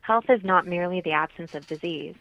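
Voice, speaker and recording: A woman's voice: on the low side, a little fast, vocal fry. A woman explaining things as a guest expert in a radio interview, on slightly dull audio.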